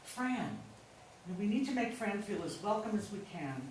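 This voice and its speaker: A woman's voice: medium pitch, expressive, moderate pace, darkish tone, natural voice. An actress in a staged play reading, playing a harried, insistent older wife and mother. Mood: busy, explaining. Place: indoor live staged reading. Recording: echoey room from far off, faint noise.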